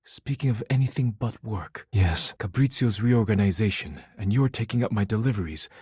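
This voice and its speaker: A man voicing an earnest, proud poet in a video game, speaking in formal, solemn, stilted diction with a husky voice. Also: on the low side, normal speed, dark tone, no room sound, clean studio sound. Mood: earnest, reflective. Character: earnest, proud male poet devoted to dishware, formal and intense. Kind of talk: video game character voice acting.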